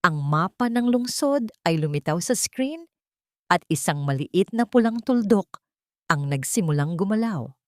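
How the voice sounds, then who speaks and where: A woman with a fairly low voice narrates a scripted story in a dramatic style. The recording is very dry, with no room sound. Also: animated, unhurried, dry recording.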